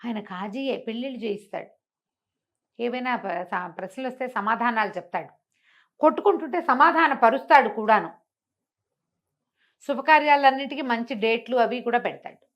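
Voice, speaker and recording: Female storyteller, higher register, expressive and chatty, fond of rhetorical questions. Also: normal speed, long pauses. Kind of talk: conversational moral storytelling.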